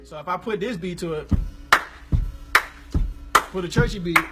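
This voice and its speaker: A man, hosting a casual live stream about gospel music, talking in a relaxed, rambling, slangy way. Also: high-pitched, normal speed. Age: 20s.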